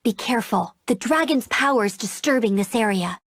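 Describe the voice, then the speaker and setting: High-voiced eager young scout girl. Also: expressive, a little fast, husky, dry recording, clean studio sound. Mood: wary, explaining. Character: eager, earnest, youthful female scout. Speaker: outrider scout. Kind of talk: fantasy video game voice acting.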